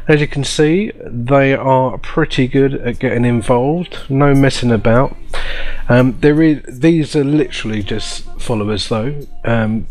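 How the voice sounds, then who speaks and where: A man's voice: medium pitch, a little mellow. A man hosting a casual showcase of game mods in a chatty, informal way over background music. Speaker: video host.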